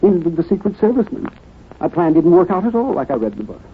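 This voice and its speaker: An actor playing a timid, bumbling, lovestruck amateur schemer in an old radio drama, with a hesitant, fussy, stammering delivery. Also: male voice, high voice, big pitch swings, fast talker. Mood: nervous, resolute. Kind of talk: 1940s radio drama acting. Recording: noisy, tinny old radio recording.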